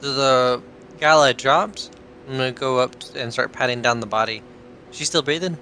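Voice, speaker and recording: A man's voice: medium pitch, normal speed, pushed and bright, a little hiss or hum. A man playing a tabletop game, stating his character's actions in a blunt, matter-of-fact, casual way.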